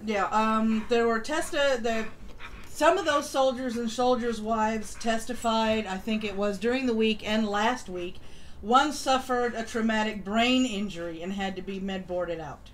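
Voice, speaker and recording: A woman's voice: a little high, unhurried, poor audio. Female live stream host, casual news commentary, blunt and sardonic, audible noise behind the voice.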